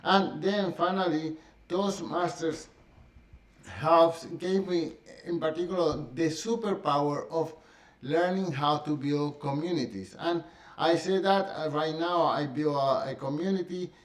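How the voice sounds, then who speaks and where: A middle-aged man whose first language is Spanish talks to camera in a halting, casual way, full of fillers and restarts. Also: a little high. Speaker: vlogger. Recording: echoey room.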